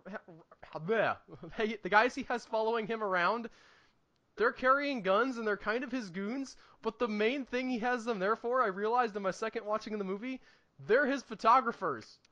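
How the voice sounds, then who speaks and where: A man co-hosting a podcast, casually discussing films in an animated, emphatic and opinionated way. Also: high voice, highs cut off. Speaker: podcast co-host reviewing films.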